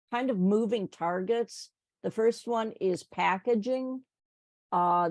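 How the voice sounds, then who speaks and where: A woman, a book co-author, talking slowly in casual conversation. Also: mid-range voice, a little mellow, dry recording. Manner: slow, with frequent affirming "yeah" and "right". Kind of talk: conversational talk.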